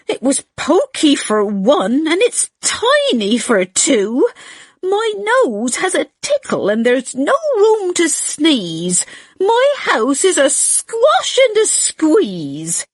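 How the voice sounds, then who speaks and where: Lady doing a flustered little old granny from a storybook, very high n swoopy, pleading, slow. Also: huge pitch swings, dry recording, heavily acted. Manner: exclaiming, plaintive, drawn out.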